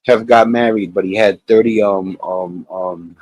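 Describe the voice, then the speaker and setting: A man's voice: on the low side, steady tone, slow pace, darkish tone, no room sound, poor audio. A man in his late forties, hosting a channel, rambling through casual reaction commentary and preachy life advice. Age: 40s. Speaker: channel host.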